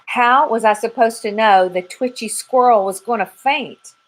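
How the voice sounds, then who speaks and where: A woman's voice: fairly high pitch, measured pace, voice pushed up, poor audio. A woman voicing a bored, wisecracking male yard dog who tells his story in a wry, offhand way.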